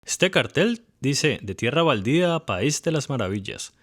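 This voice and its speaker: A man's voice: mid-range voice, big pitch swings, normal speed, a bit bright. A man reading a polished, lightly witty scripted voice-over for a travel video. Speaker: narrator.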